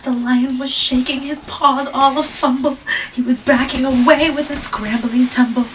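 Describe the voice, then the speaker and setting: A woman reading a children's picture book aloud, gentle, with dramatic whispers and asides. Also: high voice, fairly even intonation, normal speed. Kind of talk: read-aloud storytelling. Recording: distant mic with background hiss.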